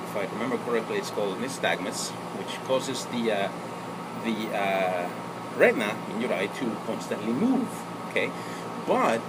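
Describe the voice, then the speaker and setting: A man from El Salvador explaining his everyday life in a casual vlog, rambling with many fillers and corrections. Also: on the low side. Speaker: vlogger. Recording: loud background noise.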